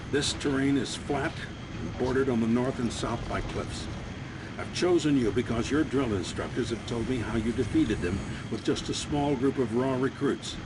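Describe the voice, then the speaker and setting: Guy doing stern army commander briefing for a video game, formal n authoritative, middle pitch, noisy old recording. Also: lightly acted. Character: grim male military commander briefing troops before battle. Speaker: mission briefing officer. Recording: clearly noisy 1990s game audio.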